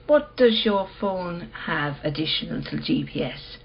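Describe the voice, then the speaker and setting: An actress playing a cool, enigmatic dealer in lost art treasures in a radio play, speaking in a terse, controlled way. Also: female voice, fairly low pitch, lively intonation, unhurried, dull audio. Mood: firm, cryptic.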